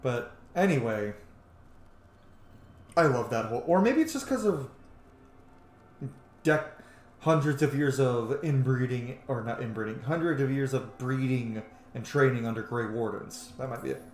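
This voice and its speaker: A man's voice: mid-range voice, normal speed, a little hiss or hum. Chatty male gamer in an echoey room. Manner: excitable, sweary, chatty. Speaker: video game streamer. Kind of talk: casual let's play commentary. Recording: echoey room with game music behind.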